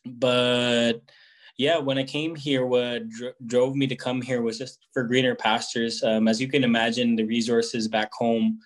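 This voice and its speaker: Reflective man, halting speech. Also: mid-range voice. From Philippines. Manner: reflective, hesitant, many fillers and restarts. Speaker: guest musician. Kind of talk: casual interview answers.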